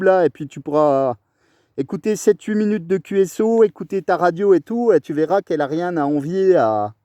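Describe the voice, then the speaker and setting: A man's voice: on the high side, dark tone, no echo, clean studio sound. A man chatting casually as a radio operator in long, rambling, enthusiastic turns. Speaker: amateur radio operator recording his contact.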